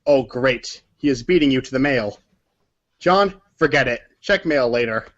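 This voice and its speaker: A man's voice: mid-range voice, lively intonation, normal speed, lo-fi sound. A man narrating a story aloud as if from a storybook, sometimes stumbling over words and correcting himself. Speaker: narrator of a group readers' theater.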